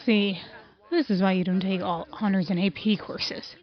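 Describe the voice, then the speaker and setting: A woman's voice: medium pitch, fairly even intonation, normal speed, natural voice. A young woman playing a blunt teenage girl who lectures her friend, in an audio drama. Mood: lecturing. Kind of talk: amateur audio drama acting. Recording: muffled lo-fi recording.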